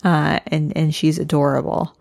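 Low-pitched, creaky woman recapping. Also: flat delivery, moderate pace, a little mellow, dry recording, studio quality. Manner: narrates the plot step by step, chatty. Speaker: podcast co-host. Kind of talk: casual recap chat.

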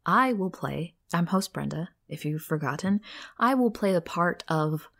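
A woman hosting a podcast in casual, animated banter with an incredulous, giggly manner. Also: fairly low pitch, unhurried, vocal fry. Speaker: podcast host.

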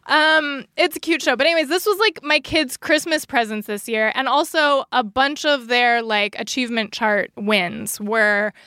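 A woman's voice: high voice, fairly even intonation, moderate pace, hardly any pauses, pushed and bright, clear, resonant tone, no echo, studio quality. A woman co-hosting a podcast, telling a story in casual chat with lots of fillers and restarts. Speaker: podcast co-host. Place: Los Angeles area home studio.

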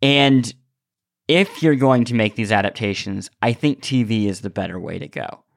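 Man, podcast host, medium pitch, dry sound, casual opinionated talk, halting word repeats. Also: creaky, dry recording. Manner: halting, repeats words, analytical.

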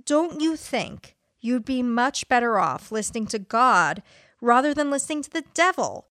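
A woman's voice: a little high, lively intonation, normal speed, a bit bright, dry recording, clean studio sound. A woman voicing an indignant doomsday preacher in a dramatic reading of a religious tract, with emphatic stresses and rhetorical questions. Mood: indignant warning.

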